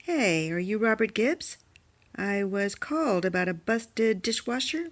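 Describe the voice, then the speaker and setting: A woman's voice: medium pitch, animated, a little slow. A woman playing a blunt, independent blue-collar plumber with a casual, dry manner in an audio drama. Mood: matter-of-fact.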